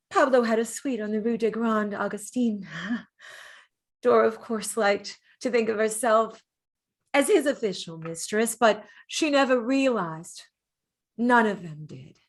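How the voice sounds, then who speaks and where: A woman acts out a dead painter's lover looking back on her life. Her voice sits fairly high, gentle and wistful. She tells it as a measured theatrical monologue, leaning on pauses. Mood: proud, wistful.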